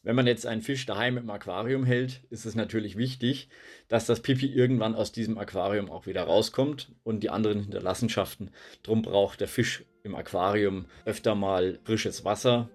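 A husky-voiced man, a biologist, explaining things to children in a plain, patient way. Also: fairly low pitch, normal speed, darkish tone. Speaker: biologist and fish expert.

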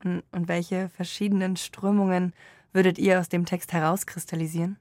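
A woman interviewer putting prepared questions in measured, articulate, formal phrasing. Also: lowish voice, level tone, darkish tone, no room sound, studio quality.

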